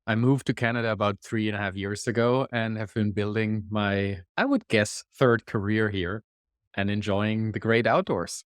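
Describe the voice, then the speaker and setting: A man with a German accent, a podcast guest, explaining his work in a thoughtful, measured way. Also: fairly low pitch, smooth, dry recording, studio quality. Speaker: guest, executive consultant. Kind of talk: conversational interview.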